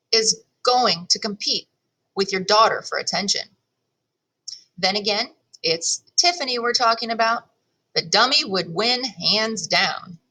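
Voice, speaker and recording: A woman reading a children's chapter book aloud in an expressive first-person storytelling style, leaning on key words. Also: a little high, rough recording. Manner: animated, storytelling delivery with deliberate emphasis.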